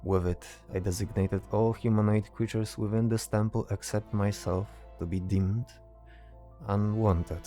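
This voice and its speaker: A man voicing a stoic, cryptic mage in a fantasy audio drama, calm and assured, with formal, deliberate phrasing. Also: fairly low pitch, soft background music, natural voice. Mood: calm, pensive.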